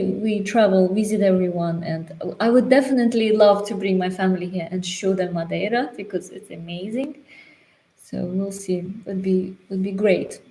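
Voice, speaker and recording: British woman rambling on a live q&a, mellow warm voice, repeats words a bit. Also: medium pitch, rough recording. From Britain. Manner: chatty, laughs easily, repeats words. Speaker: co-host of a travel Q&A. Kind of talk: casual live chat.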